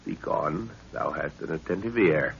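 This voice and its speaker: An actor playing a learned, commanding middle-aged explorer father, calm in danger, in a 1930s radio adventure. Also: rumbling low, measured pace, creaky, heavily acted. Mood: courtly. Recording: muffled, noisy 1930s radio recording.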